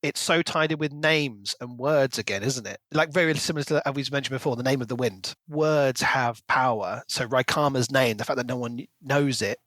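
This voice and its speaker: A man's voice: mid-range voice, a little fast, few pauses, fairly bright tone, no echo. A man co-hosting a podcast, talking through a book in an articulate, reflective, conversational way. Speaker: podcast co-host. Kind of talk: book discussion, casual analytical chat.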